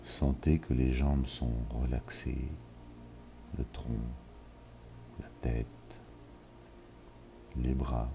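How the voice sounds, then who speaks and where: A man leading a yoga nidra session, giving calm, measured instructions with long pauses. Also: very deep voice, gravelly, a little hiss or hum. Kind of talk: slow guided relaxation. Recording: soft music behind. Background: soft ambient music.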